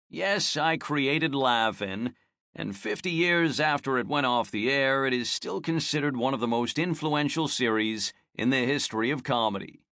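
A man's voice: on the high side, creaky, fairly bright tone, dry recording. A man reading a first-person memoir aloud in a wry, self-mocking storytelling manner, close to a studio mic. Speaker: audiobook narrator.

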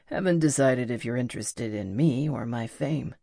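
A woman voicing a cocky, teasing young male rock musician in an audiobook, speaking in an intimate, unhurried way. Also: a bass voice, gravelly, mellow, no room sound, studio quality, exaggerated voice. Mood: guarded. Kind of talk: audiobook character dialogue.

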